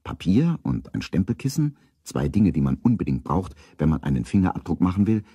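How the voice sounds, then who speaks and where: A man narrating a children's radio play as a calm storyteller, reading scripted prose. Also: deep voice, a little fast, slight background noise. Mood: measured, storytelling.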